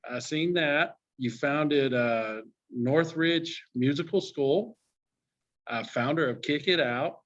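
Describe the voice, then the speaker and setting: Podcast host guy, kinda high voice, dull lo-fi audio, hyped and upbeat. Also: takes it slowly, a bit bright. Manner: upbeat, enthusiastic host patter. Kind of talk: casual podcast chat.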